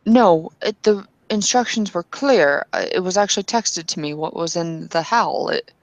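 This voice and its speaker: A woman's voice: on the low side, animated, moderate pace, no room sound. A woman playing an earnest young werewolf woman who insists on following instructions, in her own voice. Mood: insistent.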